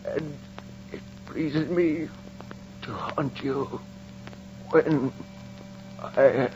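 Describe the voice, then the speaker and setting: An actor playing a haughty, vengeful young aristocrat in old radio horror drama, with deliberate, theatrical diction. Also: male voice, fairly high pitch, background noise. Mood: vengeful, menacing. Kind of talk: 1940s-50s radio horror drama acting. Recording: muffled old-time radio recording with music.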